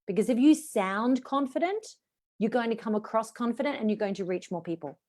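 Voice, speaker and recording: A woman's voice: fairly high pitch, brisk, darkish tone. A middle-aged woman, a voice coach, teaching an online session over a video call in an encouraging coaching tone.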